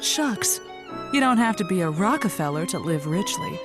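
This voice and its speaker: Flirty, animated radio love-show host. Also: female voice, medium pitch, moderate pace, over music. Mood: showy, seductive. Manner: innuendo-laden, playful, punning. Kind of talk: audio comedy drama acting.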